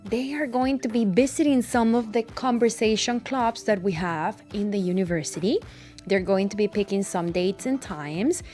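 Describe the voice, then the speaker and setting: A woman's voice: medium pitch, normal speed, darkish tone, faint music behind. A woman hosting a live student program in a conversational interview style.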